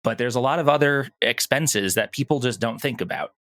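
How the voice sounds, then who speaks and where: A man's voice: medium pitch, a little fast, a bit bright, no echo. A man talking casually as an interview guest, explaining things earnestly. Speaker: podcast guest, audio drama producer.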